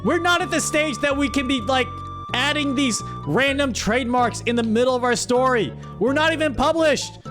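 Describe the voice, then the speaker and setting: A man's voice: very high voice, normal speed. A man hosting a casual livestream story game, reading aloud and commenting with playful mock-exasperation. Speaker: streamer hosting a chat story-writing game. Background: background music.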